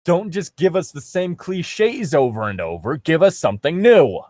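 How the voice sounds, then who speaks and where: A man's voice: fairly high pitch, big pitch swings, moderate pace, darkish tone, no room sound, clean studio sound. A man co-hosting a reading show, giving casual critical commentary on a story among friends. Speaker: co-host of a fan fiction reading show.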